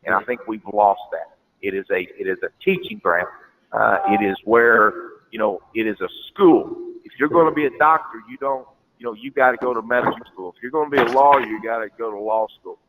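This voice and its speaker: Low-voiced, emphatic, folksy man. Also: fairly quick, noisy background. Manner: emphatic, folksy, preachy repetition. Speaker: officiating coordinator, interview guest. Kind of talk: group interview answer on a conference call.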